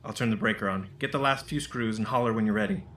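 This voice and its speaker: An actor playing a calm, patient, lightly teasing home remodeler in a romantic comedy radio play. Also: male voice, on the low side, fast talker, close to an everyday voice. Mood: reassuring. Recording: echoey room with background noise.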